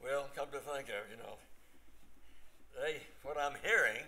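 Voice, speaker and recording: An older man playing a scheming, hopeful nephew in a staged reading, halting and deliberate. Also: medium pitch, bright, forceful tone. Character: scheming, hopeful nephew John, gossiping about uncle.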